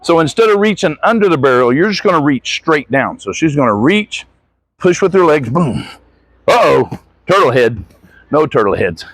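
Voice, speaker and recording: A man's voice: a little high, very animated, moderate pace, fairly bright tone, no room sound, rough recording. A man coaching gymnastics, giving hands-on instruction with short encouraging corrections as he demonstrates. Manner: encouraging, corrective asides to athlete.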